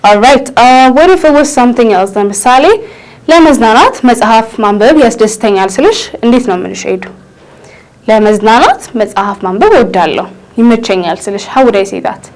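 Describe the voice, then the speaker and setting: A woman in her twenties with an Ethiopian accent, teaching English and switching languages, slowing down to spell out phrases. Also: on the high side, lively intonation, darkish tone, low-quality recording. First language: Amharic. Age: 20s. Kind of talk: bilingual English lesson.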